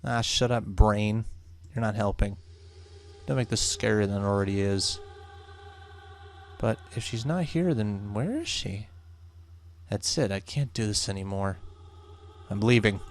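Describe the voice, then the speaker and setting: A man's voice: fairly low pitch, a little slow, long pauses, slight background noise. A man voicing a nervous, superstitious young real estate agent in a horror visual novel, with dramatic, exasperated delivery. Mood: panicky, fed up.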